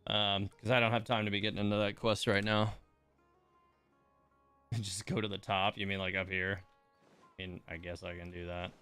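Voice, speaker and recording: A man's voice: on the low side, level tone, moderate pace, long pauses, sharp tone, clean tone, soft background music. A man giving casual livestream game commentary, rambling and muttering to himself as he plays.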